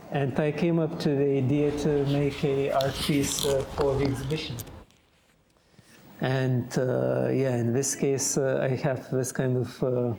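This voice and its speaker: A man, a glass artist, explaining his artwork in a halting way with many filler sounds. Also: medium pitch, warm tone.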